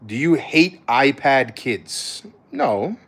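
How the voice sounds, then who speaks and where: A man's voice: medium pitch, big pitch swings, drawn-out words, fairly bright tone, slightly rough. A man with an American accent chatting casually as a streamer, answering questions in a blunt, slangy, conversational way. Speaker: streamer, content creator. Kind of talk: casual livestream chat, answering viewer questions.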